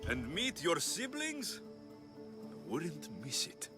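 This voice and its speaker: An actor playing a sceptical mercenary hero of ancient Greece in a video game, talking in a dry, sardonic manner. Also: male voice, a little high, wildly swooping pitch, slow pace, exaggerated voice. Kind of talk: video game cutscene acting. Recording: music playing behind the voice.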